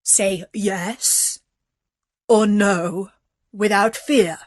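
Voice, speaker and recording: A woman voicing a gruff but courteous male beast in a storybook, speaking slowly and politely. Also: mid-range voice, steady tone, dry recording, clean studio sound. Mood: courteous, earnest.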